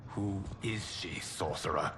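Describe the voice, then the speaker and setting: An actor playing a calm, wise guardian in a video game cutscene, formal and measured. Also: male voice, low voice, heavy background noise. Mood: grave. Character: calm, solemn, wise male protector of realms.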